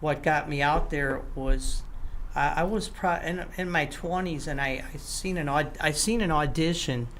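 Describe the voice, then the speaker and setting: An older man, a guest musician, telling his story in a halting, casual way with many restarts and some throat clearing. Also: a little high, a bit bright, slight background noise. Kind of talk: casual interview talk.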